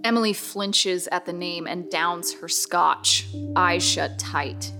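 A woman narrating a horror radio drama as a solemn storyteller, in a measured, dramatic manner. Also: fairly low pitch. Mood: solemn, suspenseful. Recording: music bed under narration.

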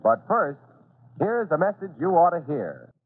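A man's voice: on the high side, big pitch swings, a little slow, breathy edge. A man narrating a children's adventure serial as a dramatic 1940s radio announcer. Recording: narrow-band, slightly noisy 1940s radio recording.

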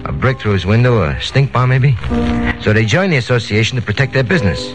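A man's voice: medium pitch, big pitch swings, fast talker, close to an everyday voice. A man acting an eager small-time racketeer pushing his scheme in a 1940s radio crime drama, slangy and insistent. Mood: eager pitching. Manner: slangy, insistent salesman patter. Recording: 1940s radio broadcast with music.

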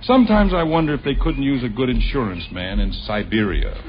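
A man's voice: mid-range voice, lively intonation, fairly quick, noisy background, natural voice. An American actor playing a middle-aged, put-upon husband and father in a 1950s radio sitcom, with a dry, wry delivery. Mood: grumbling. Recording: dull old radio recording.

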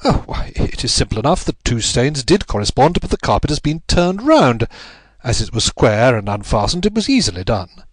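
A man's voice: mid-range voice, big pitch swings, normal speed, no echo. A man voicing an intense, masterful detective in an audiobook, speaking in an imperious, clipped manner. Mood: nonchalant, assured. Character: intense, commanding male detective, keen and masterful. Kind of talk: audiobook character voice.

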